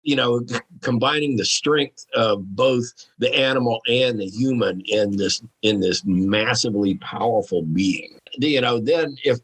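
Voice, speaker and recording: A man's voice: mid-range voice, unhurried, fairly bright tone, slightly rough, rough recording. A man speaking as a guest in a casual discussion, emphatic and enthusiastic. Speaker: podcast guest, author.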